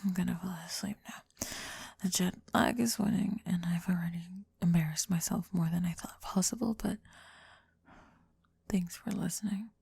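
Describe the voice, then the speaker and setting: A woman playing a remorseful, vulnerable young woman in an intimate emotional ASMR roleplay, speaking haltingly and tenderly in a breathy, often whispered voice, close to the mic. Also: on the low side, a little mellow, dry recording, exaggerated voice. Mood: tender, sleepy. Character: remorseful, vulnerable young woman confessing to someone she rejected. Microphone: close, dry microphone.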